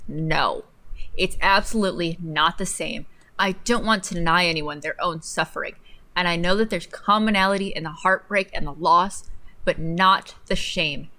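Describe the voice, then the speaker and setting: A woman's voice: medium pitch, fairly bright tone. A young woman co-hosting a livestream, doing a playful, mocking dramatic reading mixed with casual banter. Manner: giggly, playful, theatrical when reading aloud. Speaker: livestream co-host. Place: indoors at a desk in a home streaming setup.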